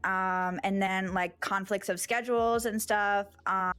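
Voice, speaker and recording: A woman's voice: mid-range voice, unhurried, clear, resonant tone, dry recording. A woman guest speaking casually and at ease, winding through her thoughts with lots of "like" and "um." The sound is dry and up close, with a faint bed of music underneath. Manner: relaxed, rambling, many fillers. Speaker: streamer guest. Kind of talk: casual interview chat.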